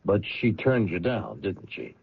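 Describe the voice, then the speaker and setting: A man playing a probing, skeptical police lieutenant interrogating people in a radio drama, with pointed questions and deliberate pauses. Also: fairly low pitch, sing-song, vocal fry, husky, dry recording, exaggerated voice. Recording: dull old radio recording.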